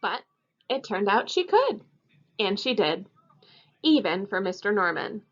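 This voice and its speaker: A woman's voice: medium pitch, sing-song, measured pace. A woman who teaches first grade, reading a picture book aloud expressively for children. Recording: dull lo-fi audio.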